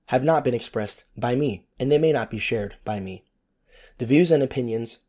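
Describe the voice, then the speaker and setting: A hoarse man who is losing his voice, hosting a casual solo podcast with a sarcastic, rambling manner and free swearing. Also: mid-range voice, mellow. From United States. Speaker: podcast host.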